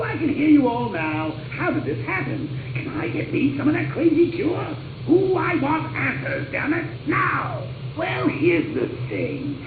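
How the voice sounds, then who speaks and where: A man's voice: extremely high pitch, roomy sound, far from the mic, phone-quality sound, background noise, full character voice. An actor playing a gleeful, murderous male clown criminal in a video game, taunting like a showman.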